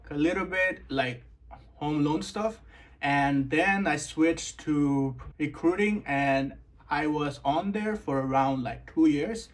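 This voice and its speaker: A young man from Nepal, a guest in a casual interview, relaxed and full of "like" fillers. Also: on the high side. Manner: relaxed, filler-heavy, says "like" often.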